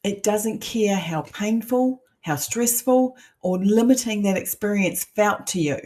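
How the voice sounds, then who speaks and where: A woman's voice: medium pitch, unhurried, warm tone. A middle-aged woman with a New Zealand accent, a coach teaching an online masterclass in an emphatic, persuasive way with rhetorical questions.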